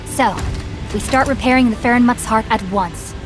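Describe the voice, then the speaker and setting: A woman playing a calm, contemplative heroine in a fantasy video game. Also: on the high side, moderate pace, lightly acted. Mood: grave. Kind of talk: dramatic game voice acting. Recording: loud music behind the voice.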